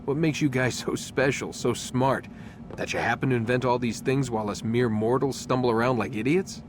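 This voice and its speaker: A man plays a wry, skeptical young captive in a video game, speaking in an everyday mid-pitched voice. Clear background noise sits under his lines. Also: normal speed, natural voice.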